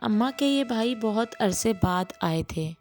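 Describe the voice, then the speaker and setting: Slow-paced woman narrating a story over music. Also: a little high, lively intonation, a little mellow. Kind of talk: scripted audio storytelling in Urdu with acted dialogue.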